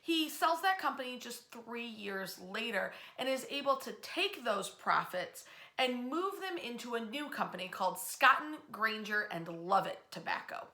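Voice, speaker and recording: An American woman telling history stories in an informal, conversational way, with dramatic pauses and emphasis. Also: a little high, lively intonation, pushed and bright. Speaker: history storyteller, host. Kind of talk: informal narrated history storytelling. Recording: echoey room sound.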